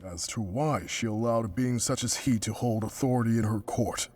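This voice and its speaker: A man plays a grave, courteous sage in an audio drama. The medium-pitched voice uses stately, old-fashioned diction and instructs formally. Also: normal speed, a little mellow, close to an everyday voice. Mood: grave, instructive. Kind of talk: theatrical audio drama acting.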